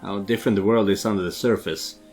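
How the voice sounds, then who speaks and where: A man's voice: on the low side, steady tone, quick pace, a little mellow, soft background music, close to an everyday voice. Man playing a friendly, pensive barista. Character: friendly, pensive late-night coffee shop barista.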